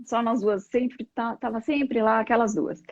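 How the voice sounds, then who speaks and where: Higher-voiced Brazilian woman, dull audio. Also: fairly even intonation, a little slow, dry recording. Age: middle-aged. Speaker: interview guest, yoga practitioner. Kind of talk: conversational storytelling and explaining in a live interview. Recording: dull, lo-fi live stream audio.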